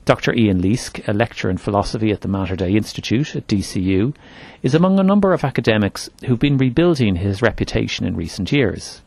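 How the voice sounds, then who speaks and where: A man hosting an interview, reading a clear, measured introduction and asking questions. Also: lowish voice, big pitch swings, darkish tone, no echo. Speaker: interviewer, podcast host.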